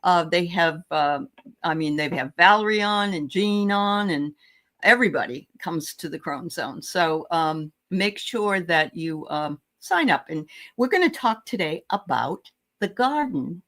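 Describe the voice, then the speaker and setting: A woman's voice: lowish voice, lively intonation, a little slow, fairly bright tone. Chatty woman with a Boston accent. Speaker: host. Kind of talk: casual livestream chat.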